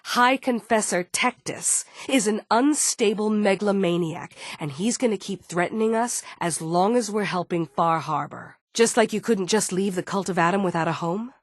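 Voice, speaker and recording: A woman's voice: medium pitch, normal speed, few pauses, no echo, clean studio sound, lightly acted. A woman voicing a stern, guarded android security chief in a video game, firm and businesslike. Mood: serious, businesslike. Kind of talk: scripted game dialogue.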